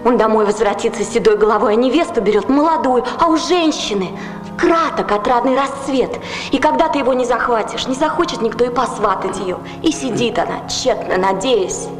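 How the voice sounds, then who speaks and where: A woman's voice: a little high, expressive, brisk, natural voice. An actress playing a bold, persuasive ancient Greek woman leading a revolt, declaiming verse theatrically. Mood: mournful. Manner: declamatory, rhetorical. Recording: echoey hall sound with music behind.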